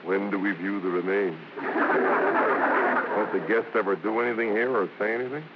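A man's voice: fairly low pitch, steady tone, moderate pace, heavy background noise, close to an everyday voice. An actor playing a breezy, wisecracking vaudevillian father in a 1950s radio drama, with jaunty, slangy banter. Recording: dull, lo-fi vintage radio recording with music.

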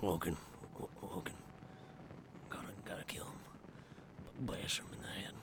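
An actor playing a grumpy, suspicious man in an audio drama, gruff, sardonic and defensive. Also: moderate pace, heavy background noise, lightly acted. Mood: deranged, menacing. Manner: gruff, sardonic, prone to outbursts.

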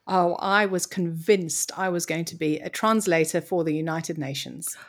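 A woman's voice: lowish voice, moderate pace. A woman from Mozambique, telling her story as an interview guest in an articulate, reflective way. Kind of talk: conversational interview.

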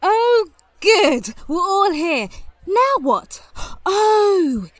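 A woman's voice: very high voice, big pitch swings, drawn-out words, dry recording, heavily acted. An actress playing a brash, impulsive young woman investigator in an audio drama. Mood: flippant, cocky. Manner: flippant, brash.

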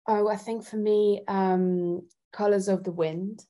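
A woman's voice: mid-range voice, steady tone, slow pace, dark tone. A woman from Italy, a guest singer-songwriter chatting casually in a giggly, thoughtful way. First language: Italian. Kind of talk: casual chat.

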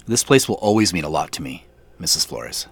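A man's voice: low-pitched, very animated, fairly quick, gravelly, fairly bright tone, breathy edge, full character voice. An actor playing a polite, reserved businessman in a naturalistic audio drama. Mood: warm, polite. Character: polite, reserved businessman revisiting a farm from his youth. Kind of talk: naturalistic audio drama dialogue and first-person narration.

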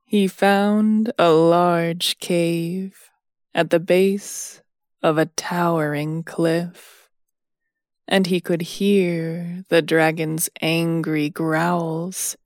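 A woman narrates a bedtime story at an extremely slow pace. Her voice sits a little low and is recorded dry and close, with no room sound. She is soothing and measured, resting between phrases. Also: fairly even intonation, no echo.

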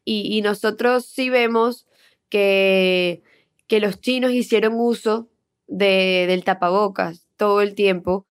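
A woman's voice: mid-range voice, fairly even intonation, slow, clear, resonant tone. A woman with a Venezuelan accent reporting conversationally, often repeating words as she searches for the next one.

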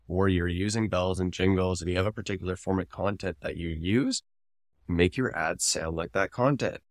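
A man's voice: sits low, dry recording, clean studio sound. A man co-hosting a podcast, talking casually and analytically as he explains his opinions. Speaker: podcast co-host.

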